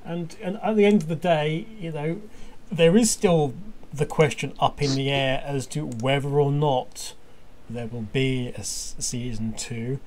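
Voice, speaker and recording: A man's voice: on the high side, fairly even intonation, measured pace, darkish tone. A man hosting a casual fan commentary chat in a jokey, playfully exaggerating manner. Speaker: podcast host.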